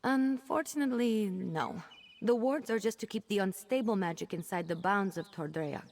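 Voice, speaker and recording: A woman voicing an earnest, chatty young female mage apprentice in a video game, expressive and a little exasperated. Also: medium pitch, normal speed, close to an everyday voice. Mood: earnest, concerned. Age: young adult. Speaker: quest-giving companion character. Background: game music.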